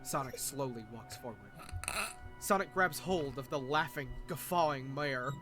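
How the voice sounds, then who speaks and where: A man reading a script aloud as narrator, in a deliberate, mock-serious dramatic style. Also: medium pitch, music in the background.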